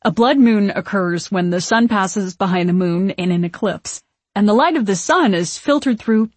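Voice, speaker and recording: A woman's voice: mid-range voice, moderate pace, darkish tone, no room sound, lightly acted. A woman playing an earnest, bumbling, pedantic witch in an audiobook, explaining things fussily. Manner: fussy explaining. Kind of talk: audiobook character acting.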